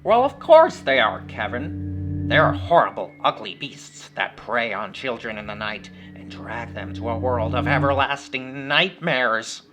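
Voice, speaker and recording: A man's voice: mid-range voice, very animated, unhurried. A man voicing an overeager living puppet in a horror story, friendly yet faintly sinister, with theatrical, exaggerated delivery. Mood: ominous, theatrical.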